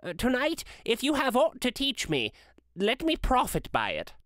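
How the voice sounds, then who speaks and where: A man's voice: very high voice, huge pitch swings, normal speed, bright, forceful tone, dry recording, studio quality, voice pushed up, heavily acted. A man voicing a frightened old miser in a storybook reading, pleading and hesitant. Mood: timid, humbled.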